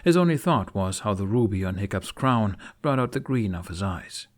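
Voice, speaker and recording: A man reading a scripted fantasy story aloud in an expressive audiobook style. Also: fairly low pitch, normal speed. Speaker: fan fiction narrator.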